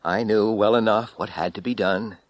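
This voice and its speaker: A man narrating a first-person horror story as an audiobook reader, theatrical and intense, swinging between hushed and loud delivery. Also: fairly low pitch, fairly even intonation, moderate pace, dry recording.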